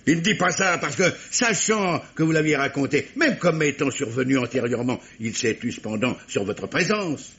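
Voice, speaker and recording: An actor playing a wily, insistent police commissioner in an old French radio mystery, phrasing hesitantly and repetitively, then with command. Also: male voice, medium pitch, lively intonation, normal speed, few pauses, a bit bright. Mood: insinuating. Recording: muffled vintage radio recording, faint noise.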